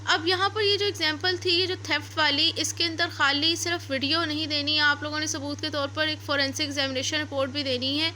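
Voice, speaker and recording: A woman's voice: high-pitched, fairly even intonation, fairly quick, hardly any pauses, bright, forceful tone. A woman with a Pakistani accent giving an explanatory lecture, didactic, restating key points as she goes. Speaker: law lecturer. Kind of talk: explanatory law lecture with examples. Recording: noticeable background noise.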